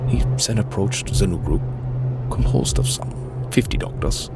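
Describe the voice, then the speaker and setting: A man voicing a doctor who soberly reads out his grim eyewitness testimony, slow and halting. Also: low voice, animated. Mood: grim, restrained. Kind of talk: dramatic reading of written testimony. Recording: music behind the voice.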